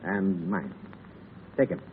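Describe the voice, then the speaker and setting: A man's voice: low voice, slow pace, phone-quality sound. A man acting a stern, menacing Foreign Legion adjutant in a formal, clipped, authoritarian way in a 1930s radio drama. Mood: icily formal. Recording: hissy, echoey 1930s radio broadcast.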